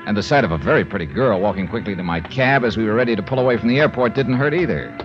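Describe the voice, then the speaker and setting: A man's voice: fairly low pitch, very fast, close to an everyday voice. An actor playing a wry, confident insurance investigator in a 1950s radio drama, wisecracking and clipped as he acts and narrates. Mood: dry, narrating. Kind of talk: 1950s radio detective acting with first-person narration. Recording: muffled vintage 1950s radio recording, organ music.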